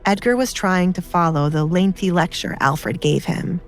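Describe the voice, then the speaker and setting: A woman with a fairly low voice narrates a scripted audiobook. Quiet music plays underneath her storytelling. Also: moderate pace.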